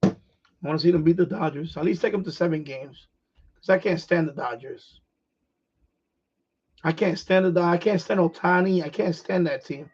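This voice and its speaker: A middle-aged man from New York with a New York accent, hoarse, chatting casually on his livestream and restarting his phrases as an eager sports fan. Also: fairly high pitch, moderate pace, pauses often, darkish tone. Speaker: livestream host. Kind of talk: casual rambling chat. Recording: dull lo-fi stream audio.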